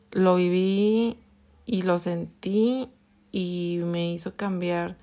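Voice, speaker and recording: A Mexican woman in her late twenties hosting a personal podcast, telling a story slowly with many pauses, restarts and fillers. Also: lowish voice, darkish tone. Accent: Mexican Spanish. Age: 20s. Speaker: podcast host.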